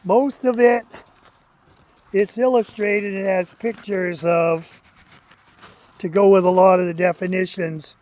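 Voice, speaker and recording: Rambling higher-voiced man. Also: darkish tone. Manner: rambling, conversational, stops to read aloud. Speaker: channel host. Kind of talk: casual talk to camera, reading aloud.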